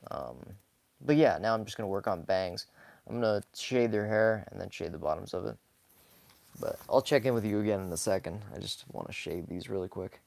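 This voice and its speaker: A hoarse young man talks casually while sketching live, rambling softly with many fillers and small laughs. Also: lowish voice, a little fast, no room sound. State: hoarse, scratchy from talking too much. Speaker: live-streaming sketch artist. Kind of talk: casual commentary while drawing.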